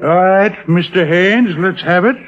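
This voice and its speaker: Skeptical cop, blunt n challenging, high-pitched voice, old radio drama, muffled sound. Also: male voice, a little slow, loud music behind the voice. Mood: skeptical, impatient. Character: skeptical, blunt male police detective. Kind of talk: 1950s radio drama acting. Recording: dull vintage radio recording.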